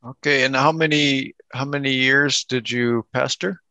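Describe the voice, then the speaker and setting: A man's voice: medium pitch, fairly even intonation, measured pace, sharp tone, dry recording. A man hosting a podcast interview in a conversational way, putting questions to his guest.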